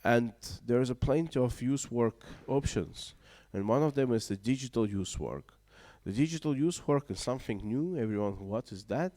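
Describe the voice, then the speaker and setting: A man's voice: mid-range voice, expressive, moderate pace, hardly any pauses, dark tone. A man moderating a youth conference panel, speaking in hesitant, non-native English full of fillers. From Baltic region. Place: conference room.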